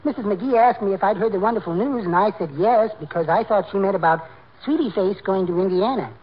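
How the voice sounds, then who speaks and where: An actor playing a meek, slyly gleeful henpecked husband in a 1940s radio comedy, timid, polite and giggly. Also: male voice, high voice, fast talker, breathy edge. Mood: meek, cheerful. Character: meek henpecked little married man, gleefully sly. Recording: muffled, noisy 1940s radio recording.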